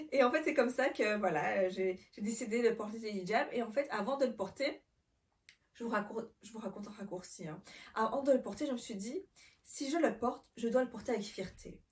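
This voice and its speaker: Earnest young woman vlogger, high voice. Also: recorded from a distance. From Belgium. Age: 20s. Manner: earnest, reflective, with many fillers and restarts. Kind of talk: personal storytelling to camera.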